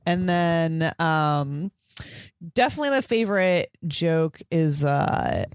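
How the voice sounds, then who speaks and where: A woman's voice: deep voice, animated, slow, clear, resonant tone, no room sound, studio quality. A woman guesting on a podcast in casual chat, talking hesitantly with many fillers.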